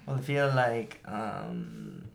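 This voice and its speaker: A man's voice: medium pitch, slow, vocal fry. Young guy podcast cohost, voice bounces all over, rambly and jokey. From Filipino family background. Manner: animated, jumps between tangents, laughs often. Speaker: podcast co-host. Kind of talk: casual chat.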